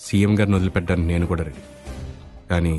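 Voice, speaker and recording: Actor as low-voiced, sardonic, calm kidnapper. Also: male voice, rapid-fire, natural voice. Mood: mock-polite, calm. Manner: mock-polite, deadpan, reasoning. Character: calm, sardonic male everyman kidnapper making demands. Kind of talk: Indian film drama dialogue. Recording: film soundtrack with faint music.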